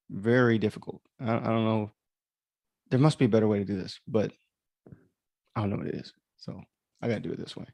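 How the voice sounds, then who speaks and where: A man's voice: on the low side, gravelly, darkish tone, no room sound. A man hosting a livestream in casual, rambling chat, commenting on his own audio drama and easily amused.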